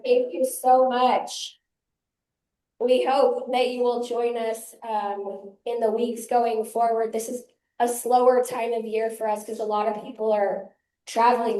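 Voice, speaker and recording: A hoarse woman with laryngitis opens a member meeting as chair and founder, giving brisk, practical announcements to a live audience. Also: on the high side, mellow. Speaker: chair and founder of a local activist group. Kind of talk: opening remarks at a member meeting. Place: community meeting room with an audience. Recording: echoey meeting room.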